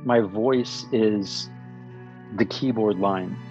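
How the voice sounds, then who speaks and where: A man in his fifties, a musician answering interview questions in an earnest, thoughtful way, often pausing and restarting. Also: on the low side, darkish tone, soft background music. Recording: slightly dull recording.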